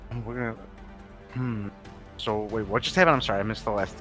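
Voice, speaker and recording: Lower-pitched man playing a tabletop game. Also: steady tone, a little fast, sharp tone, faint music behind. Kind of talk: casual chat during a game.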